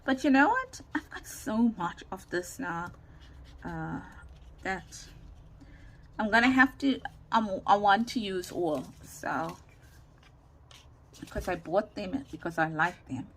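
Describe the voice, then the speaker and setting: A woman giving a casual, chatty craft demonstration, thinking aloud in a rambling way with a loud, carrying voice, recorded without a separate microphone. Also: mid-range voice, expressive, takes it slowly, pauses often. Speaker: craft tutorial host. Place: indoors at a home craft desk. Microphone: camera or phone without separate microphone. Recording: noisy room, no microphone.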